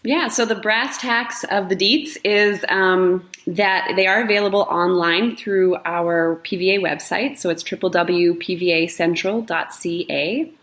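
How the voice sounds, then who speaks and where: A middle-aged woman with a fairly low voice talks about her school's work as a guest on a podcast. She is chatty and eager, with plenty of fillers. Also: unhurried, hardly any pauses, fairly bright tone. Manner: chatty, many fillers, enthusiastic. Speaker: high school drama teacher, guest. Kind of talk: casual chat.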